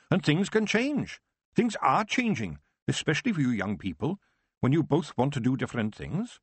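A man's voice: on the high side, breathy edge, no echo, voice pushed up. A man doing a nosy old witch shopkeeper. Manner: brisk, probing, motherly.

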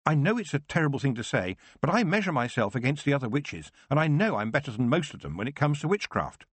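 A man's voice: mid-range voice, sing-song, fast, husky, no room sound, voice pushed up. A man reading aloud, voicing an earnest young woman witch who is proud of her craft. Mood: heartfelt, troubled. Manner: earnest, heartfelt.

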